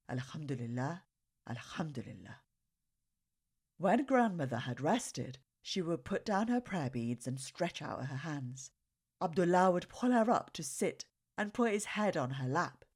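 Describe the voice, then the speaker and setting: A woman reading a children's story aloud with expressive, dramatic phrasing. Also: low voice, husky.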